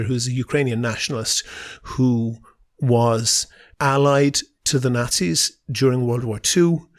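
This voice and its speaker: Man giving assertive political analysis. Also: mid-range voice. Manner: explanatory, assertive. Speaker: interview guest commentator. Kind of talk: political analysis in a podcast interview.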